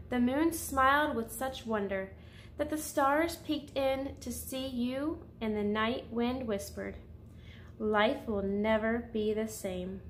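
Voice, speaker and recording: A woman's voice: on the high side, slight background noise. A woman reading a picture book aloud as a children's story-time host, speaking directly to her young listeners.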